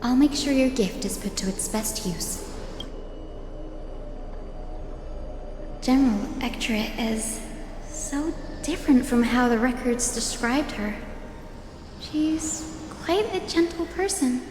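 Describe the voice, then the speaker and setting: A woman's voice: high-pitched, expressive, unhurried, background noise. A woman playing a kind, optimistic young priestess in a video game, speaking earnestly. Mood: earnest, respectful. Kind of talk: scripted video game voice acting. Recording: echoey game audio with music behind.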